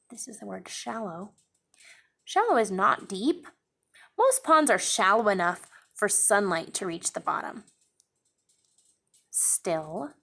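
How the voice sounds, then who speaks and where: A young woman teacher reading a picture book aloud for children in a classroom, pausing to explain words and pages. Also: mid-range voice, gravelly. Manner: slow, expressive storytime reading with explanations.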